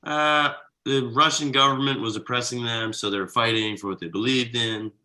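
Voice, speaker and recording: A man's voice: medium pitch, fairly even intonation, moderate pace, bright, forceful tone, rough recording. A man playing an exhausted, easygoing thirty-something slacker dad in a casual, hesitant comedy table read. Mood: gentle, explaining.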